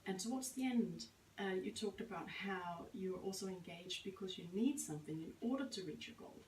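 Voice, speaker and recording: A woman's voice: mid-range voice, animated, brisk, darkish tone, roomy sound, far from the mic, a little hiss or hum, low-quality recording. A woman coaching a language learner, asking questions and summarizing his answers with frequent encouraging affirmations. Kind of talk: coaching interview.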